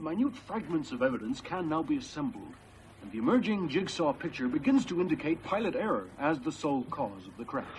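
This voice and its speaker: Formal tv news presenter, animated delivery, faint noise behind. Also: male voice, fairly high pitch, rough recording. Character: formal male television current affairs presenter. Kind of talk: broadcast presenting in a radio drama. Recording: radio drama with faint background noise.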